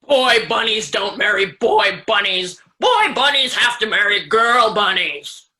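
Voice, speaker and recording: A woman's voice: medium pitch, lively intonation, a little slow, pushed and bright. A woman voicing a male, self-important, bossy stink bug in a children's storybook reading, with pompous, emphatic delivery. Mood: domineering, commanding. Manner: pompous, emphatic, declaring rules.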